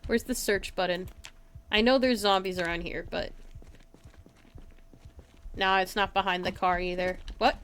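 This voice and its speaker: A woman's voice: mid-range voice, fairly even intonation, measured pace, pauses often, a bit bright, clear, resonant tone. A woman giving casual live gameplay commentary in a playful way, talking to herself and her chat. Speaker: game streamer, host.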